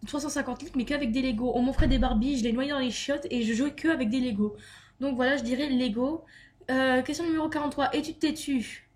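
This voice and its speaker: A young woman with a somewhat high voice chats through a list of tag questions for her vlog. She reads each question, then answers in a loose, giggly way, peppered with fillers. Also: steady tone, a little fast, a little mellow. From Luxembourg. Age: young adult. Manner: chatty and giggly, lots of "euh" and "donc voilà". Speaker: beauty vlogger.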